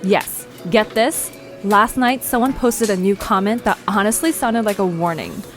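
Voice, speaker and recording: A woman playing a bold, nosy young amateur journalist in an audio drama, with a brisk, confident, playful delivery. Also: mid-range voice, faint music behind, natural voice. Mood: excited. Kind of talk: conversational audio drama acting.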